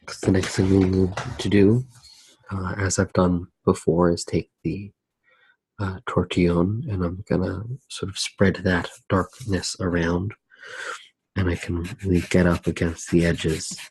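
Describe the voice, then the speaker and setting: A soft-spoken man narrating an ASMR drawing demonstration in an unhurried, hesitant way. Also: sits low, flat delivery, breathy edge, no echo, low-quality recording. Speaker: ASMR artist, host.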